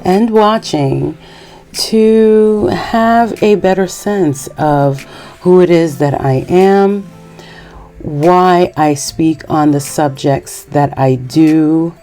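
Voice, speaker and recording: A woman podcast host, born in Haiti and raised in New York, giving a measured, earnest personal monologue. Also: low-pitched, warm tone, soft background music.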